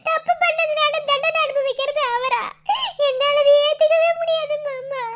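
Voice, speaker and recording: A woman's voice: extremely high pitch, fairly even intonation, normal speed, fairly bright tone. A woman playing a distraught, guilt-ridden young sister who pleads tearfully in a melodramatic family drama. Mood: desperate guilt.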